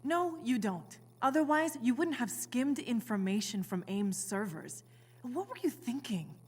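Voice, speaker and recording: A young woman in a game drama, hurt and guarded. Her husky voice moves sharply up and down, clipped and full of reproach. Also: on the high side, lively intonation, a little slow, darkish tone, long reverb tail. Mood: hurt, angry. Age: young adult. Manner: curt, reproachful. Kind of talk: video game voice acting.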